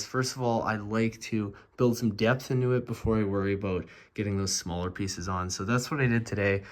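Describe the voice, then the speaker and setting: A young man with a stuffed-up, congested voice, casually narrating his LEGO building progress in a relaxed, rambling way. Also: on the low side. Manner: relaxed and rambling, frequent "obviously" and "uh". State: congested, stuffed-up nose from a cold. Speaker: LEGO builder and channel host.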